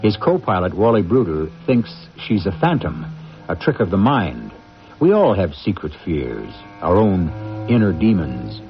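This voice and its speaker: A man performing as an ominous, theatrical mystery host, narrating a radio drama with deliberate phrasing on an old recording. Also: deep voice, big pitch swings. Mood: intriguing. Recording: dull 1980s radio recording, faint music.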